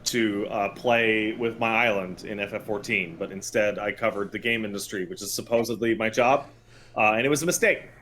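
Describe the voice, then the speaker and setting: A man's voice: lowish voice, fairly quick, a bit bright. A man co-hosting a casual gaming podcast, telling things in a blunt, profane, emphatic way. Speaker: podcast co-host. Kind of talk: casual podcast chat about video games.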